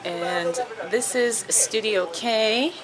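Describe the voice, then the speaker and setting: A young woman who works as a radio traffic reporter, chatting casually in an easygoing, halting way with lots of ums and uhs inside a radio station. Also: medium pitch, crowd noise, rough recording. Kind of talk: casual vlog chat with an on-air traffic read. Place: radio station office and studios, indoors.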